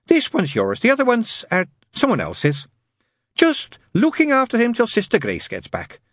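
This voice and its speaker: Man putting on a high, gushing, flustered nun. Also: huge pitch swings, moderate pace, fairly bright tone, no echo, studio quality, exaggerated voice. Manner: gushing, wittering, eager. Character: chatty, flustered, naively cheerful English nun and nurse.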